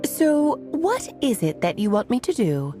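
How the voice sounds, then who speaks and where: An actress voicing Alice, a caring, playful fairy-tale mage in a game cutscene, with a gentle, concerned manner. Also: female voice, on the high side, wildly swooping pitch, measured pace. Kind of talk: story cutscene voice acting. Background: soft music.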